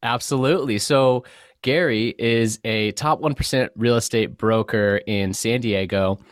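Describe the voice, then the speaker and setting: A man's voice: mid-range voice, a little slow, dry recording, studio quality. A man from southern California hosting a podcast in a casual, conversational interview style. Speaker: podcast host.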